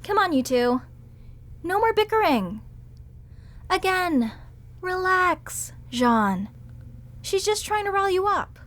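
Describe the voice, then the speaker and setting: Woman, high and animated, unhurried; sweet, soothing, bubbly reads for audio drama. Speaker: voice actor in audio roleplay. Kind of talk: acted audio roleplay.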